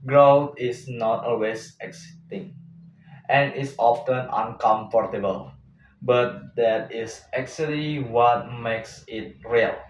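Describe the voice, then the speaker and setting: A man delivering a prepared motivational speech as a contestant, in short, deliberate rhetorical phrases. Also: medium pitch. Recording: echoey room, distant mic, faint noise.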